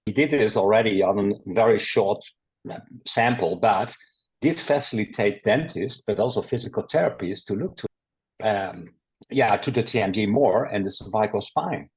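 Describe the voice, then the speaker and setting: A man's voice: lowish voice, normal speed, slightly rough, rough recording. A middle-aged man with a Dutch accent, a guest physical therapist talking in a podcast interview, with frequent hesitations. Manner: hesitant, many "uh" fillers.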